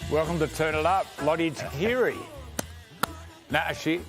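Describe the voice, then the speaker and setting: A man's voice: on the high side, unhurried, over music. Teasing man hosting a panel. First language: not English. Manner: joking, teasing. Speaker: panel host. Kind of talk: jokey panel chat.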